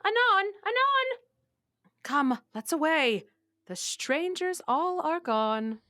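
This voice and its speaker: A woman's voice: very high voice, animated, slow, pushed and bright, dry recording, clean studio sound, full character voice. A woman playing an older, fussy, protective servant nurse in classical Shakespearean theatre. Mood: fussing. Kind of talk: classical Shakespearean stage acting.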